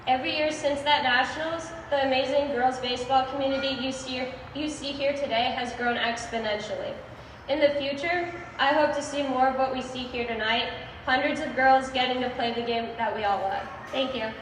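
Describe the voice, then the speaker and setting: A young American woman of eighteen delivers a prepared speech in a high voice. She is heard from far off through long echo, with clear noise around her. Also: steady tone, brisk. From United States (Illinois). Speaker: youth baseball player and team captain. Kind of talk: prepared speech at an opening ceremony. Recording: echoey outdoor PA from far off, noisy.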